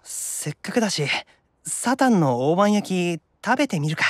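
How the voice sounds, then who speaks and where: A man playing an excitable, boyish otaku demon brother, animated and reactive, in a Japanese anime-style audio drama. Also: high voice, dry recording, studio quality. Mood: flustered. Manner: animated, reactive, fast-gushing fan talk.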